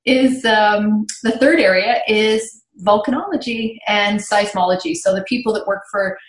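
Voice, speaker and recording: A woman from Canada, raised bilingual in French and English, speaks as a podcast guest. She explains things in a conversational, storytelling way at a middle pitch. The remote recording is roomy and echoing. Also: a little slow. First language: bilingual French and English from childhood. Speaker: podcast guest, tech marketing executive. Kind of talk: conversational interview answers, explaining.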